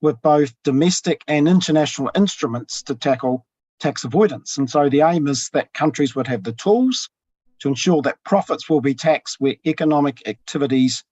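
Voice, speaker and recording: A man with a husky voice, giving a measured academic talk over a video call, often pausing with ums. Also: a little high, a little mellow. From New Zealand. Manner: measured, with frequent ums and pauses. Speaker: tax professor presenting. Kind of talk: academic webinar presentation. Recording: dull lo-fi video call audio.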